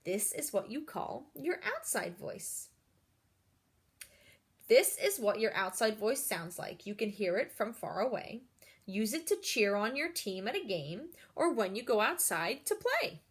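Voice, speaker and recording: A woman's voice: on the high side, very animated, unhurried, slightly rough, heavily acted. A woman voicing a kind, patient storybook schoolteacher who coaches a pupil in an encouraging, instructive way. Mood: explaining.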